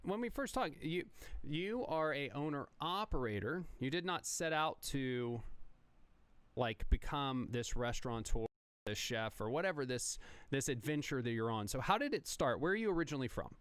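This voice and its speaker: Animated smooth-voiced male podcast host. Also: medium pitch, normal speed, dry recording. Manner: animated, rambling, lots of restarts. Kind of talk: casual interview chat.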